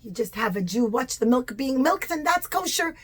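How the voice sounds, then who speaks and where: Simple ancient villagers in a children's story, acted out by a woman, speak in a high, exclaiming voice. They wonder aloud with exaggerated, naive earnestness as they work things out. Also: lively intonation, moderate pace, husky. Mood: decided, eager.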